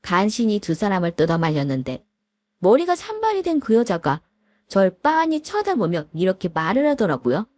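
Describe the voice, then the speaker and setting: A woman voicing a middle-aged husband who calmly retells how his wife fought an old classmate, in polite storybook narration. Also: mid-range voice, dry recording. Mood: recounting. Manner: measured, recounting in polite speech.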